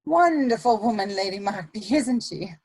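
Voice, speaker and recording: A woman playing a sly, worldly Victorian society lady, arch and smoothly polite, in a dramatic comedy reading. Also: mid-range voice, expressive, normal speed, a little mellow.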